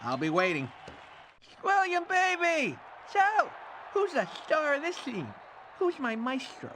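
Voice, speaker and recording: An excitable cartoon showbiz producer, slick and pushy. His voice is high and bright and leaps wildly as he schmoozes and gushes. There is a light layer of noise. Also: normal speed, some chatter behind, heavily acted. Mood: showy, hyped. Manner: gushing, schmoozing, grovels to superiors. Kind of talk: cartoon video game voice acting.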